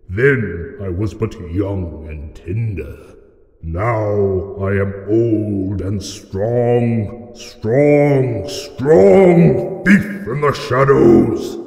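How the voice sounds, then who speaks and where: In a vast, long reverb, a huge ancient dragon speaks very slowly, with grand menace. He is a boastful, cunning taunter, pitched fairly low, from a fantasy audio drama. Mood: boastful fury. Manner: grandiose, taunting, theatrical delivery. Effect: added cavernous reverb. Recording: cavernous echo and long reverb effect.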